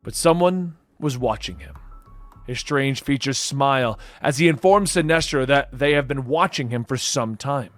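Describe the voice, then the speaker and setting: A man's voice: mid-range voice, normal speed, a bit bright, no echo. A man reading a comic book story as narrator, in a dramatic scripted style. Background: background music.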